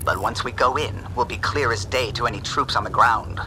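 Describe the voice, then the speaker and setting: An actor playing a cautious, practical starship pilot in a video game. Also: male voice, medium pitch, fairly quick, background noise, lightly acted. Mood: wary. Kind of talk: video game cinematic acting.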